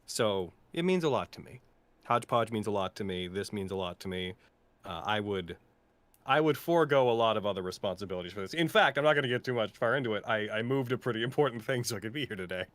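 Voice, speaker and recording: Low-voiced man, earnest and rambling. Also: lively intonation, fast, fairly bright tone, no echo. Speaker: cast member of an audio drama. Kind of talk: casual heartfelt panel chat.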